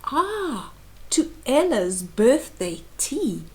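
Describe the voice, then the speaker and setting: A woman acts out an excitable storybook wizard, delighted with himself. The voice is high and swoops widely, with theatrical, chuckling exclamations. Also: extremely slow, full character voice. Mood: gleeful, excited.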